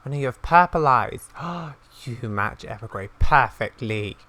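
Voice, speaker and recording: Male gamer, bright forceful voice, expressive and gushing, reacting as he plays. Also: mid-range voice, unhurried. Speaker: gaming video creator. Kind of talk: live gameplay reaction and reading dialogue aloud.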